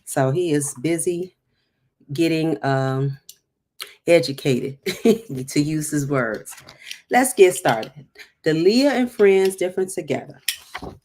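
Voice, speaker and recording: A woman's voice: sits low, expressive, slow pace, long pauses, a little mellow. A woman hosting a storytime, reading a picture book aloud with chatty, encouraging, emphatic asides.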